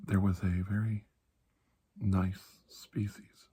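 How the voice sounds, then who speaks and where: A man playing a calm, kindly doctor in a soft-spoken ASMR roleplay, reassuring and deliberate, with long pauses and breathy slips into whispering. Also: low-pitched, heavily acted. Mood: hushed, confiding. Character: calm, kindly, ageless male doctor of alien medicine.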